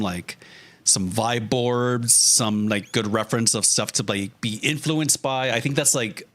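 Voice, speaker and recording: Bright-voiced man chatting casually on stream. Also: mid-range voice. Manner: self-deprecating, joking, casually profane. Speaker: streamer, storyboard artist. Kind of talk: casual livestream chat while drawing.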